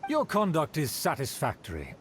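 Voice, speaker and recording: An actor playing a haughty aristocrat from a fallen noble clan in a video game, speaking in formal, flowery, drawn-out phrases with condescension. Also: male voice, on the high side, huge pitch swings, a little hiss or hum. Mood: haughty, approving. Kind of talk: scripted video game voice acting. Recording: game music behind the voice.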